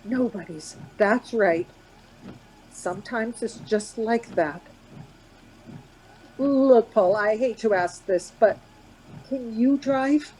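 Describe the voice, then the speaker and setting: A woman's voice: slow, pauses often, a little mellow, poor audio. An actress playing an anxious, coaxing woman traveller in a radio play, urgent and reassuring by turns. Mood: firm, reassuring. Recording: background noise with vehicle rumble.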